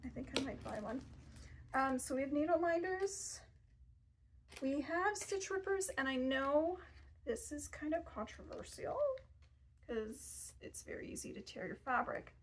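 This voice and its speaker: A woman's voice: high voice, slight background noise, rough recording. A woman in her thirties presenting gift ideas in a fabric shop, chatty and self-deprecating with casual asides. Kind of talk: casual product showcase. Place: fabric shop in front of fabric shelves.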